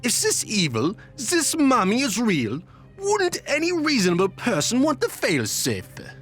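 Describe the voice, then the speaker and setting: A woman's voice: a little high, huge pitch swings, measured pace, breathy edge, soft background music, full character voice. A woman playing a skeptical, questioning companion in a radio drama, inquisitive and pressing. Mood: puzzled.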